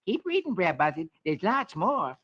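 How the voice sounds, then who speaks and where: A man's voice: sits high, very animated, moderate pace, voice pushed up. A man voicing a sly, cheerful rabbit with a breezy, knowing manner in an old radio comic-strip reading. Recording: dull, noisy vintage radio recording.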